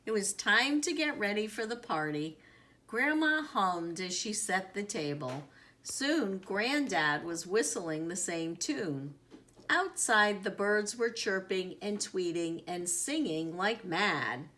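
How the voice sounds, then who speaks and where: Slow, animated woman reading a story. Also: medium pitch, a little hiss or hum. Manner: deliberate, animated, with dramatic emphasis. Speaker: storyteller reading a children's book aloud. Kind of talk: expressive read-aloud narration.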